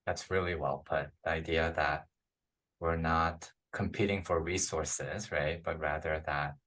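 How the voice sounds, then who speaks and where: A man's voice: very deep voice, fairly even intonation, unhurried, a bit bright. A man from the Philippines interviewing in a casual, reflective way, affirming the guest and often checking in with a short tag question.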